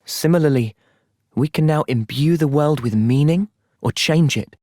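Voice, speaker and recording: A man voicing an earnest, thoughtful young soldier in a video game, with an English accent and a measured, sincere delivery. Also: a little high, a little mellow, no echo, clean studio sound, natural voice. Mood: calm, earnest. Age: young adult. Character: earnest, thoughtful young male soldier, gentle but resolute. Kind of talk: video game cutscene acting.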